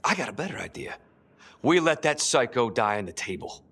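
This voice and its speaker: Territorial, short-fused policeman for a game; medium pitch, very lively and combative. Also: a little fast, slightly rough, full character voice. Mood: angry, indignant. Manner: aggressive, indignant. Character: hot-tempered, profane, territorial male police detective. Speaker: video game actor. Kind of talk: crime drama acting.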